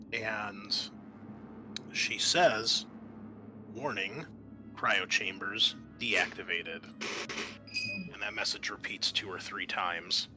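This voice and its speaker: A man narrating a tabletop roleplaying game as game master, with halting delivery. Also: fairly low pitch.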